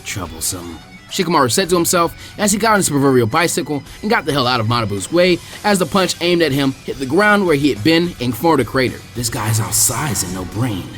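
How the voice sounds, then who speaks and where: Fast, lively male story reader. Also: fairly high pitch, soft background music. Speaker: fanfiction reader. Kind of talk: reading a fan story aloud.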